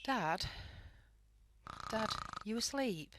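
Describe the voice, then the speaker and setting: A woman's voice: fairly high pitch, lively intonation, drawn-out words, heavy background noise. A woman playing a worried, caring young British wife in a wartime radio play. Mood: anxious.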